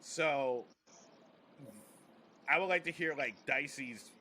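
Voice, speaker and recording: A man's voice: on the high side, slow pace, bright, forceful tone, a little hiss or hum. A man who works as a comedian, hosting a casual podcast chat in a rambling, joking, slangy way.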